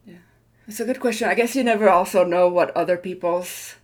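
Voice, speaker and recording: Low voiced woman getting interviewed about her work, thoughtful, hedges and qualifies stuff as she goes. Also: brisk. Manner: thoughtful, hedging, self-qualifying. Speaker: interview guest, tenured design leader. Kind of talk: reflective interview conversation.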